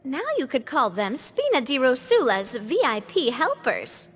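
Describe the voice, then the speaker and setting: An actress playing a gracious, earnest young gang leader in a video game, speaking politely and with care. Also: female voice, sits high, big pitch swings, unhurried, slightly rough, exaggerated voice. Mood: friendly, explaining. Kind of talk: video game dialogue acting.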